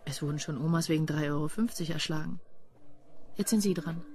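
A woman's voice: sits low, brisk, over music. Dry, sardonic policewoman. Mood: businesslike, dry. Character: cool, quick-witted female police detective. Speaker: radio drama actor. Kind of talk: German crime radio play acting.

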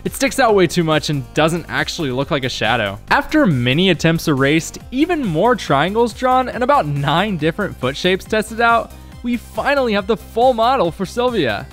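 A young man narrates a scripted vlog in a fairly high voice. His delivery is lively and wry, poking fun at himself. Music plays behind him. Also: moderate pace, few pauses. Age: young adult. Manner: wry, self-deprecating humour. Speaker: video creator and game developer.

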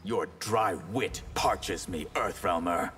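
An actor voicing a proud, humorless water mage in a video game, with a formal, menacing manner. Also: male voice, low voice, slow pace, fairly bright tone, soft background music. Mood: haughty menace. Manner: grave, formal, haughty. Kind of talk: video game fight-intro banter.

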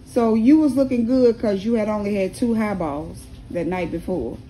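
A woman's voice: medium pitch, normal speed, dark tone, a little hiss or hum, poor audio. An American woman in casual chat at home, prompting a relative with questions.

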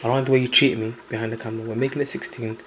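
A man's voice: mid-range voice, little pitch movement, racing, fairly bright tone, a little hiss or hum. A young man filming his friend in a kitchen, teasing him and laughing, with mock-serious interjections. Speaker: cameraman friend. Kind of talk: teasing banter from behind the camera. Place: small home kitchen, indoors. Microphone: holding the camera. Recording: echoey kitchen with music behind.

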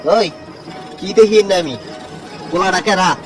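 A man's voice: high-pitched, unhurried. A man playing a stern, scolding village uncle who guards family honour, in an amateur drama with a Tangail Bengali accent. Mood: suspicious, demanding. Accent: Tangail regional Bengali, Bangladesh. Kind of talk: amateur village love-story dialogue. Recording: distant recording with music behind.

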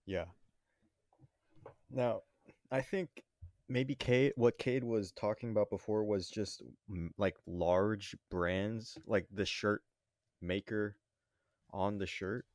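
Halting man, lowish voice, very dry sound. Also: steady tone, darkish tone, no echo. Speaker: podcast co-host. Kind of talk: casual chat.

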